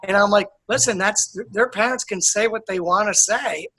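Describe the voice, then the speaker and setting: Guy guest, calm but firm, bright forceful tone, repeats his points. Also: high voice, moderate pace. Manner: earnest, repetitive, lays down points firmly. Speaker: guest, author and educator. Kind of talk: casual interview chat.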